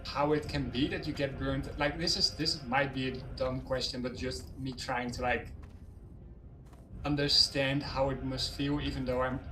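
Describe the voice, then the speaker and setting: A man's voice: medium pitch, flat delivery, normal speed, far from the mic, background noise. A man chatting on voice chat, hesitant and searching for words as he talks. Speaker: guest on voice chat. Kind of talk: casual chat.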